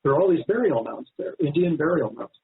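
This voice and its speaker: An American man, an author answering as an interview guest, explaining in measured, storytelling phrases. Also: a little high, expressive, breathy edge. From United States. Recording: narrow phone-line sound.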